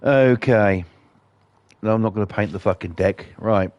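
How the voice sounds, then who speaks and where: A man hosting casual gameplay commentary, blunt and defiant, his voice croaky from a cold. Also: lowish voice, normal speed, no echo, muffled recording. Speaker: gaming channel host.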